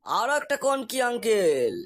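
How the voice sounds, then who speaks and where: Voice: medium pitch, sing-song, normal speed, a little hiss or hum, close to an everyday voice. An actor voicing a boastful, cocky young man in a comic cartoon, talking in a breezy, insistent, colloquial dialect. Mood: bragging. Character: boastful, cocky young man exaggerating to impress his friend's prospective father-in-law.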